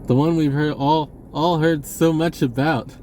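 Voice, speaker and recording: A man talking casually and off the cuff to viewers, halting and often repeating his words. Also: on the high side, steady tone, a little mellow, a little hiss or hum. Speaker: spiritual video blogger. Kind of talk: casual unscripted monologue to viewers.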